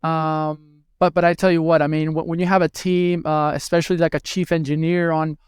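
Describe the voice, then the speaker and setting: A man's voice: on the high side, flat delivery, brisk, smooth, no room sound, studio quality. A man in his thirties from Venezuela, a guest answering interview questions with many fillers and restarts. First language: Spanish. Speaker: guest, hospitality tech executive.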